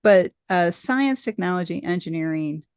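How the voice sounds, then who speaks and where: Host, middle-aged woman, gravelly low edge to the voice, dry close sound, musing and digressive. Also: animated, normal speed, dry recording. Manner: thoughtful and rambling, many restarts. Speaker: podcast host interviewing a guest. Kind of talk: casual conversational interview.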